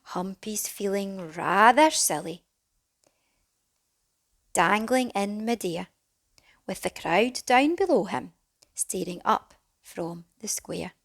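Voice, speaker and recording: A woman reading a rhyming children's storybook as a teacher would, dramatic and animated, with some hushed phrases. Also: medium pitch, slow pace, pauses often. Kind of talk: expressive children's storybook narration in rhyme.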